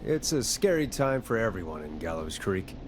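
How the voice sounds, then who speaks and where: A man's voice: lowish voice, big pitch swings, moderate pace, slight background noise. A man playing a wry, easily irritated late-night radio talk show host in a video game, with practised on-air patter.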